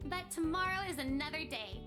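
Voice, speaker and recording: A woman's voice: sits high, lively intonation, brisk, over music. A woman voicing a lovesick, cheerfully deranged female cartoon pig with a sweetly dramatic manner. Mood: wistful, hopeful. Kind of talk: cartoon monologue, diary narration.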